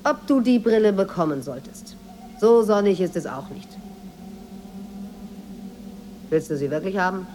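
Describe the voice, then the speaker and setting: An actress in a radio drama playing a watchful maid, deferential on the surface but measured and needling. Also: female voice, mid-range voice, animated, warm tone, slight background noise, lightly acted. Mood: needling, defiant. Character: watchful, insinuating Moroccan maid, outwardly deferential, quietly defiant. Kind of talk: 1980s German radio drama acting.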